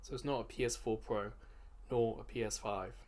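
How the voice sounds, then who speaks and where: A man's voice: lowish voice, fairly even intonation, moderate pace, darkish tone. A man giving casual gameplay commentary, thinking aloud and musing to himself as he hosts. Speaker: host of a gaming video series. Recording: game music behind the voice. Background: video game music.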